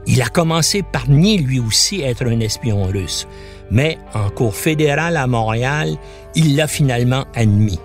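Animated man narrating a documentary. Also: medium pitch, moderate pace, soft background music. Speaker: podcast host and narrator.

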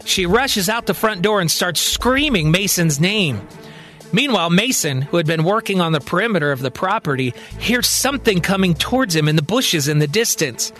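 A man's voice: high voice, animated, normal speed, a bit bright, soft background music. A man narrating a scripted, dramatic science-fiction story as a podcast storyteller.

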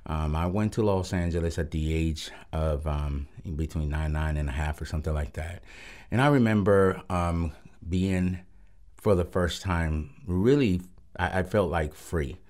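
Very deep-voiced man. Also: darkish tone. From Puerto Rico. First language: Spanish. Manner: halting and reflective, with frequent pauses. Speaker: pastor, guest. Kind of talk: personal testimony on radio.

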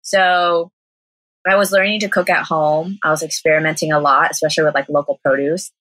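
A woman's voice: deep voice, little pitch movement, brisk, fairly bright tone, no room sound, rough recording. A young woman from Southern California with an American accent, chatting casually as an interview guest, laughing often and using lots of "like". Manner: chatty, laughing, many "like" fillers. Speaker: guest, vegan cafe owner.

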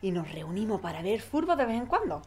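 An actress as an effusive, folksy woman from an audio play, chatty and cheerful. She has a mid-pitched voice and an Andalusian accent, over light ambient noise. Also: very animated, a little fast, a little mellow, lightly acted. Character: chatty, cheerful office-worker mother, keen football fan. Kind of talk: conversational audio fiction acting.

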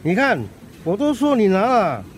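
A blunt middle-aged stall vendor with a very lively, swooping delivery. He is exclamatory, in a radio drama with faint vehicle noise behind him. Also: high voice, moderate pace. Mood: indignant.

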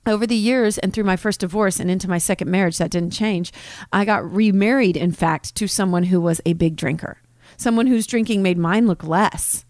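Podcast host, woman in her forties, frank confessional delivery, medium pitch, dead-dry booth sound. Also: normal speed, a little mellow, no room sound. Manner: candid, confessional, emphatic. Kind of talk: personal monologue.

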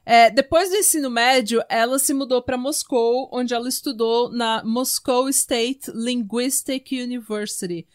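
High-voiced Brazilian woman telling true-crime stories. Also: steady tone, measured pace, hardly any pauses. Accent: Brazilian Portuguese. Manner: informal, conversational, full of asides. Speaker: podcast host. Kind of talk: casual true-crime storytelling among friends.

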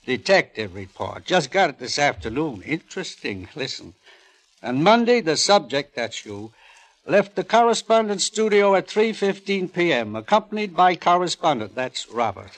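Sardonic husband, vindictive, deliberate, theatrical, swooping intonation, 1950s radio drama. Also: male voice, fairly high pitch, sing-song, poor audio. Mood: gloating. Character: cold, mocking husband, suavely vindictive.

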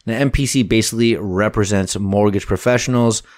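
Laid-back low-voiced Canadian podcast man. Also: fairly quick, no echo, clean studio sound. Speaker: podcast co-host, mortgage broker. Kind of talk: casual podcast chat.

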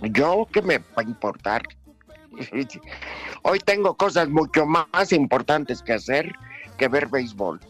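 A man hosting a sports talk show with a mock-gloomy, teasing delivery. He speaks very slowly in a slightly high voice, with soft music underneath. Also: expressive.

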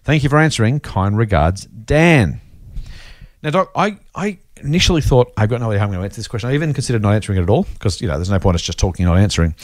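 Male podcast host, Australian accent. Quick, animated, chatty and matey, with a close dry sound. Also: mid-range voice, no room sound. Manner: chatty, addresses others as mate. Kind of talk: casual listener mailbag discussion.

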